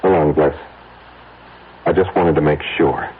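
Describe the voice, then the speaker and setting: A dull, faintly noisy radio drama recording carries a secretive American husband. His voice is quite low, and he talks in clipped, hesitant bursts, uneasy and evasive. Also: fairly even intonation, measured pace. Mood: tense, guarded. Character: Gordon, uneasy secretive husband hiding a dark deal. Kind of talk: old-time American radio drama acting.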